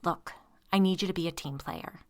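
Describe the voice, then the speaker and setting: A woman reading a controlling, manipulative husband in an audiobook, calm and coaxing, turning to snarls. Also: fairly low pitch, fairly quick, dry recording, natural voice. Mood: cold. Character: controlling, manipulative husband, steady-voiced man.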